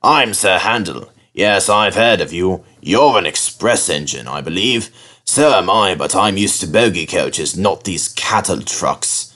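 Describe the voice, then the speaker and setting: Man playing pompous storybook locomotive, sulky, haughty complaints, lowish voice, animated. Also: unhurried, exaggerated voice. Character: conceited, sulky, grumbling male steam engine.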